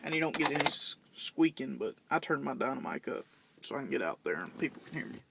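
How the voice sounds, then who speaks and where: A man's voice: medium pitch, big pitch swings, quick pace, vocal fry, heavy background noise. A young man giving a casual, plain-spoken, unscripted how-to walkthrough, explaining things step by step. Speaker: CB radio hobbyist giving a demonstration. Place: in and around parked vehicles at home.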